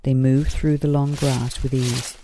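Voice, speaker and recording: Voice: medium pitch, level tone, measured pace, warm tone, slight background noise. A narrator reading a children's story aloud from a script.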